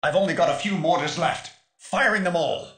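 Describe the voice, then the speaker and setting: A man's voice: on the high side, brisk, fairly bright tone, echoey room, close to an everyday voice. A man playing an earnest, formal tech expert in a rebel crew, giving precise, urgent tactical instructions as video game mission dialogue. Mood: excited urgency.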